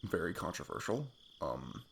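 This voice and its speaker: A man playing an affectionate, goofy best friend on a date in an intimate ASMR roleplay, rambling playfully in a husky, gravelly voice. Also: sits low, big pitch swings, moderate pace, a bit bright, a little hiss or hum, heavily acted. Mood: playful, casual. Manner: rambling, playful, self-deprecating chuckles. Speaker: audio roleplay performer. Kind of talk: intimate ASMR roleplay, improvised casual chat.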